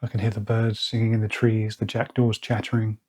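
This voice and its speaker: A man leading a guided meditation, speaking slowly and softly with long pauses. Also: on the low side, level tone. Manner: slow, soft-spoken, with long pauses. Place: outdoors or by an open window with birds nearby.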